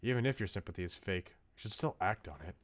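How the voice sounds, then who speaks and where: A man's voice: fairly low pitch, fast, pitched below the performer's own voice, natural voice. A man reading aloud a visual novel's bookish male student, articulate, philosophical and politely opinionated. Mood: earnest, critical. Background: music.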